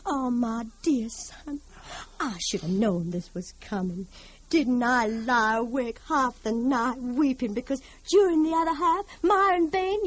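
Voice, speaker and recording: A woman's voice: fairly high pitch, slightly rough, exaggerated voice, low-quality recording. An actress playing a haunted, fragile older mother in an old-time radio drama, theatrical, pleading and unhinged. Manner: theatrical, wheedling, drawn-out pleading.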